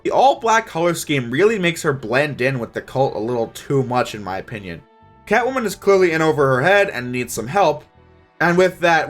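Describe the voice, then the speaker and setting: High-pitched, lively young male reviewer. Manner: opinionated, informal. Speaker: video reviewer. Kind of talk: scripted review narration, casual.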